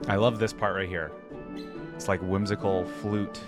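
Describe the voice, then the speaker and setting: A man's voice: low voice, steady tone, normal speed. A man giving casual, informal reaction commentary, musing aloud as he goes. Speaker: reaction video host. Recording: music bed behind the voice. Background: game music.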